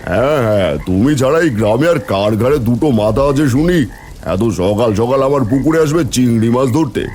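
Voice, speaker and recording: Casting: male actor as scolding cartoon landowner, mid-pitched, imperious, faint noise behind. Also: animated, a little fast, darkish tone, close to an everyday voice. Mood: indignant. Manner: bullying, indignant, commanding. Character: stern, haughty village pond owner, scolding. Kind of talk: cartoon fairy-tale dialogue acting.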